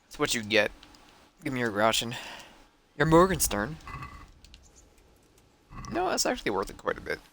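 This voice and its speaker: A man's voice: medium pitch, wildly swooping pitch, moderate pace, creaky, pauses often, fairly bright tone, a little hiss or hum. A man streaming a video game with a stuffy nose from a cold, rambling and thinking aloud. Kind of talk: casual gameplay commentary.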